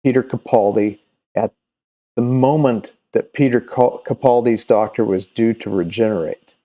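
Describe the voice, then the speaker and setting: A man presenting casually and unscripted as a comics video host, halting and often searching for his words. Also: medium pitch, dark tone. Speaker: comics video host and creator promoting his own campaign.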